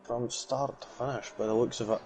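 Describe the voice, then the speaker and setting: A man co-commentating a sim race in a casual, chatty way, breaking into excited exclamations when cars go off. His voice sits at an ordinary pitch, and a faint hiss of noise runs under it. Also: moderate pace. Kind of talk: sim racing color commentary.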